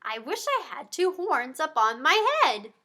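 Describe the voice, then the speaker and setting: A woman reading a picture book to young children, her high voice bright and full of swooping lift. She speaks slowly and chats straight to her small listeners between pages. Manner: animated, talks directly to young listeners. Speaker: pediatric therapist.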